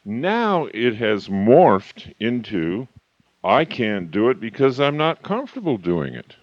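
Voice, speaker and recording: A man in his fifties or older giving a motivational talk as a coach and author, emphatic and slowing down hard on key points. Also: mid-range voice, big pitch swings, no room sound. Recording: slightly muffled, dry recording.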